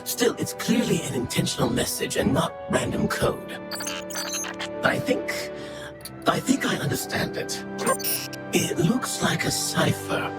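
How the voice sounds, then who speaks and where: Earnest, expressive codebreaker over loud music. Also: female voice, on the low side. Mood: focused. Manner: thoughtful, measured explaining. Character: earnest, careful, analytical young codebreaker and adventurer. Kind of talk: video game cutscene acting.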